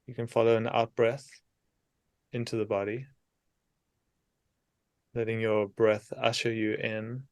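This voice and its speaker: A man leading a guided meditation in a soothing, unhurried manner, leaving long pauses between short phrases. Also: lowish voice, level tone, darkish tone, smooth, dry recording.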